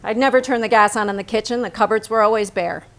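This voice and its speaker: An actress playing a frank, smitten woman painter in her thirties, in a theatrical staged reading with deliberate, intimate dramatic delivery. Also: medium pitch, fairly even intonation, a bit bright, lightly acted. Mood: brisk, frank.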